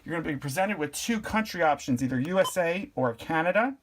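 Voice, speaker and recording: A man from Pennsylvania walks through a device setup in a casual how-to style. His delivery is relaxed and plainspoken, one step at a time. His voice sits a little high for a man. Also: brisk, a little hiss or hum. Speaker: product reviewer and tutorial host.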